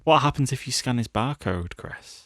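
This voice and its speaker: A man's voice: mid-range voice, lively intonation, moderate pace, no room sound, studio quality. A man hosting a casual podcast about films, talking in a chatty, jokey, bantering way. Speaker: podcast host. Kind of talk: casual film discussion podcast.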